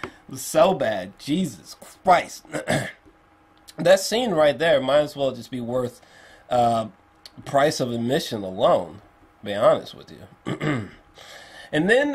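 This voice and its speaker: A man giving a casual spoken movie review, swearing freely and repeating phrases for emphasis in an animated, emphatic way. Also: mid-range voice, a little slow. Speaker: film reviewer.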